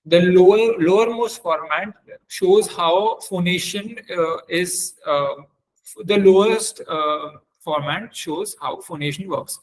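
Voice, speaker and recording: Higher-pitched man giving a halting lecture. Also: low-quality recording. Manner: hesitant, halting delivery with frequent fillers and repeats. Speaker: webinar trainer, lecturer. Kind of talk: explanatory technical lecture over slides.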